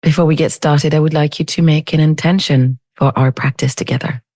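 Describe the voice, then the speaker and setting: Female meditation teacher, low mellow voice, very measured delivery, close dry sound. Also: steady tone, dry recording. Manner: gentle, unhurried, with long pauses. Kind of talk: guided meditation.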